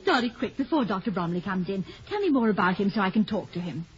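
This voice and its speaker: An actress playing a playful, affectionate, witty wife of a college president in a 1950s radio comedy, with a teasing manner. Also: female voice, mid-range voice, big pitch swings, quick pace, close to an everyday voice. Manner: teasing, lighthearted. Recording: dull, hissy vintage radio recording, faint audience.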